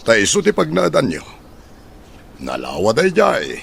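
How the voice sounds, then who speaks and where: A lowish, creaky man's voice with hugely animated pitch, a kindly householder in a radio drama. He is sympathetic and practical. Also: a little slow, a little hiss or hum, heavily acted. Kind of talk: Philippine radio drama acting.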